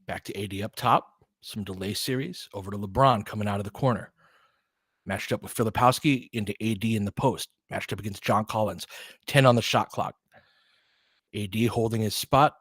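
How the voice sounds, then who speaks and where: A man in his forties doing casual play-by-play of a basketball game, chatty and excitable, thinking aloud between plays. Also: lowish voice, normal speed, husky, dry recording, studio quality. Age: 40s. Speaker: play-by-play host. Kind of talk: casual fan play-by-play commentary.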